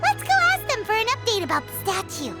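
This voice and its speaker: A woman voicing a tiny childlike fairy companion in a video game, squeaky, chirpy and blunt. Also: huge pitch swings, brisk, full character voice. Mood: curious, chirpy. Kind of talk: cartoonish video game voice acting. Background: background music.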